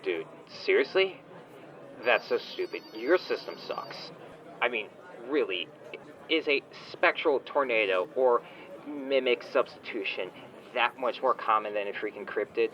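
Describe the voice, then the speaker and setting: A man's voice: medium pitch, lively intonation, measured pace, a bit bright. A man playing a smug, entitled online shop owner on a phone call in a comedy audio drama, whiny, condescending and petulant in casual slang. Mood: petulant, exasperated. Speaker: caller, complaining customer.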